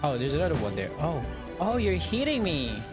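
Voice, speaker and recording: A man's voice: on the high side, sing-song, a little fast. A man doing casual live gameplay commentary, muttering to himself in short bursts. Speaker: game streamer. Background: loud game music.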